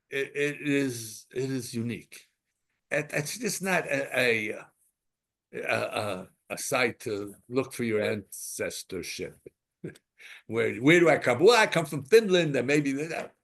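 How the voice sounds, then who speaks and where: Man teaching a digressive Torah class. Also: mid-range voice. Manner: digressive, rhetorical questions, mixes Hebrew terms.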